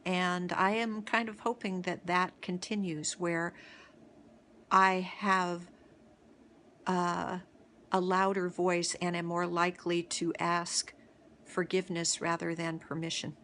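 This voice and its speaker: A middle-aged woman telling a reflective personal story as host of a daily video series, halting often, with pauses and restarts. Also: lowish voice, little pitch movement, a bit bright, slight background noise.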